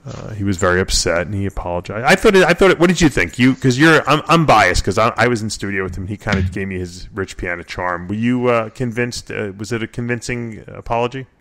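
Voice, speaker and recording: Low-voiced man hosting a radio show. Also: expressive, fast talker, hardly any pauses, a bit bright. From Florida. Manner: rambling, joking, lots of fillers. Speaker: host. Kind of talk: casual radio show talk.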